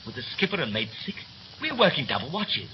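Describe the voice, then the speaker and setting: An actor playing a brisk, bossy young ship's officer in a 1930s radio play, with a clipped, commanding, theatrical manner. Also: male voice, medium pitch, huge pitch swings. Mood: fond, hurried. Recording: muffled, noisy 1930s radio recording.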